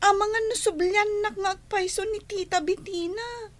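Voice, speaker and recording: An actress voicing a fearful young daughter who speaks softly and pleadingly, in a radio drama. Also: female voice, very high-pitched, fairly even intonation, moderate pace. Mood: worried.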